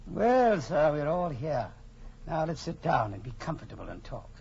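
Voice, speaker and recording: An actor playing a jovial, pompously polite but menacing crime boss in a 1940s radio drama. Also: male voice, fairly high pitch, very animated, normal speed, creaky, darkish tone. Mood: genial. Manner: florid, pompous politeness with chuckling. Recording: muffled, noisy 1940s radio broadcast.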